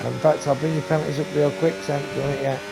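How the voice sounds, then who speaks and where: A man giving live commentary on a sim race, talking to viewers and losing his voice, with race car engines loud behind him. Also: racing, creaky. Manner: excitable, talks to viewers, rising to high bursts on action. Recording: noisy stream with engine sound. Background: race car engine noise.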